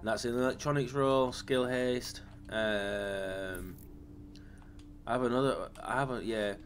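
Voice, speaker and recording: A man hosting a gaming channel, casually talking through his game build. His voice is slightly raspy from a lingering cough, and he rambles, with frequent ums and self-corrections. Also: medium pitch, slow pace, slight background noise.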